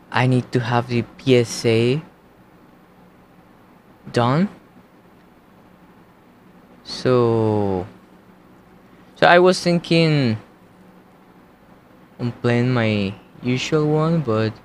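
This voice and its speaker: A young man with a Colombian accent hosting a college radio show, talking in a slow, halting, casual way. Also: mid-range voice, slight background noise. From United States. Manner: slow, unhurried, halting delivery.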